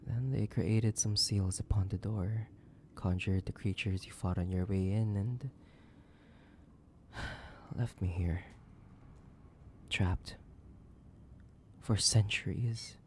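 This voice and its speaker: A man playing a sadistic, possessive, centuries-old vampire in a horror roleplay, theatrical and menacing, with a creaky voice that lapses into breathy whispers. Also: on the low side, steady tone, slow, long pauses, slight background noise. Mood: brooding. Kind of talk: dramatic horror roleplay audio, addressing the listener.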